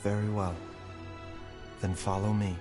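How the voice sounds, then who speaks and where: A man voicing a polite, grave, measured doctor in an anime video game. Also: on the low side, little pitch movement, over music, close to an everyday voice.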